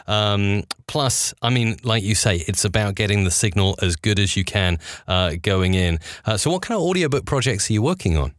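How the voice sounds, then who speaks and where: Man, lowish voice, upbeat interviewer, dry close sound. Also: no room sound. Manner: upbeat, admiring reactions. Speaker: podcast interviewer. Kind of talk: casual interview questions.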